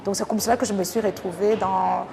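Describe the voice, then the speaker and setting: A woman from Rwanda, a teacher talking thoughtfully in an interview with many pauses and fillers. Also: mid-range voice, steady tone, gravelly. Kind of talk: casual interview talk. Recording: reverberant room with faint noise.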